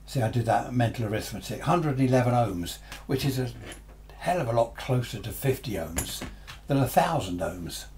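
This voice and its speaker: A man's voice: medium pitch, expressive, normal speed, a little mellow, slight background noise. A man in his seventies giving an informal, unscripted hobby tutorial, chatting along with rambling asides. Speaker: amateur radio hobbyist presenting a tutorial. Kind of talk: informal unscripted explanation to camera. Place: small cluttered home radio room, indoors.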